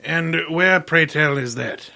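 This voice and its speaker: An imperious private detective boss acted by a man in a radio mystery. His voice is fairly high for a man, bright, with a gravelly creak. He speaks formally and dryly, probing shrewdly. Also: slow, exaggerated voice. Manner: formal, dry, probing questions. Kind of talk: old time radio mystery acting.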